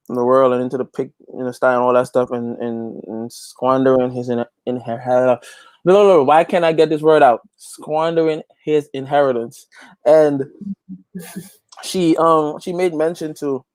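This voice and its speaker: Male interview guest, medium pitch, casual and emphatic, close dry recording. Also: a little mellow, dry recording, poor audio. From Guyana. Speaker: interview guest, gospel reggae singer and youth pastor.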